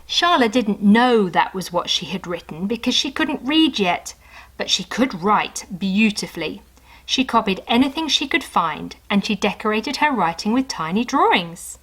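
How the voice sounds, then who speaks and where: A woman storyteller reading a children's story aloud, expressive and unhurried, with conversational asides to the young listener. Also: mid-range voice, poor audio.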